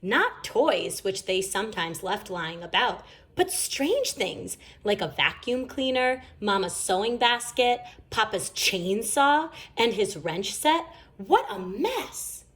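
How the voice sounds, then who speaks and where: A woman reading a children's story aloud in an expressive, emphatic storytelling manner. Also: medium pitch, takes it slowly, hardly any pauses. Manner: animated, emphatic. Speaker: storybook reader.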